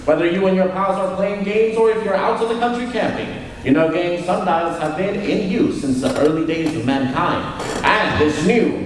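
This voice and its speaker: A man acting an upbeat 1940s radio pitchman selling to children. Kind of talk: old-time radio advertising. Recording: echoey, distant mic, faint noise.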